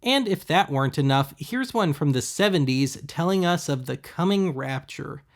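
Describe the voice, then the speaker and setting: A man with a slightly high, noticeably creaky voice delivers casual narration as the host of a shopping video. His commentary is dry and sarcastic, sounding scripted but conversational. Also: lively intonation, moderate pace. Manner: wry, sarcastic.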